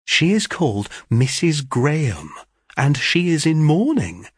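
A man voicing an excitable young sister in an audiobook of a classic novel, chattering emphatically with gossip. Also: on the high side, measured pace, husky, dry recording, clean studio sound, voice pushed up, heavily acted. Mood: excited, gossipy.

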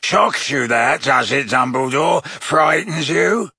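A man voicing a savage male werewolf, raspy and slow, with a leering growl. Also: fairly high pitch, expressive, sharp tone, no echo, studio quality, exaggerated voice. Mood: menacing.

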